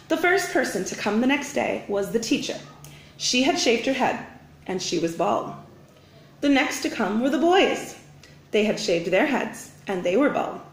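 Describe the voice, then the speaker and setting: A woman reading a children's storybook aloud like a teacher, with expressive, deliberate phrasing. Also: on the high side, a little hiss or hum. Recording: roomy, echoing indoor sound.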